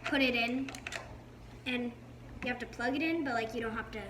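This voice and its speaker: A woman's voice: a little high, normal speed. A woman chatting casually as she helps out with a product review. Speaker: co-reviewer, helper. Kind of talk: casual chat. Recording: very noisy, clicky home recording.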